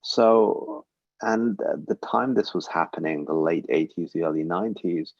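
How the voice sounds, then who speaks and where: A man's voice: low-pitched, a little slow, gravelly, no echo, dull audio. A man in his forties giving an earnest, reflective personal talk, with frequent ums and uhs. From Britain. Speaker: guest speaker. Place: synagogue livestream.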